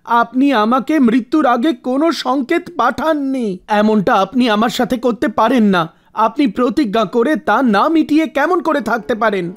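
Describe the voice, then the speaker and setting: An actor voicing a stubborn old mortal man in an animated mythological story, arguing insistently with the gods. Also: medium pitch, moderate pace, natural voice. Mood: accusing. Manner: argumentative, accusing, insistent.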